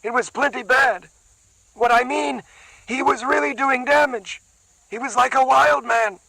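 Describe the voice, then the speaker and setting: A man voicing a cornered, streetwise young man who talks slangy and quick while playing innocent. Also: very high voice, gravelly, pushed and bright, pitched above the performer's own voice, exaggerated voice, rough recording. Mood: tense.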